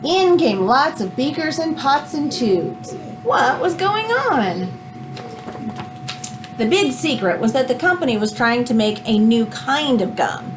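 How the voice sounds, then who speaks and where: A woman reads a children's book aloud in an expressive, teacherly way, adding explanatory asides as she goes. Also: high voice, slow, background noise.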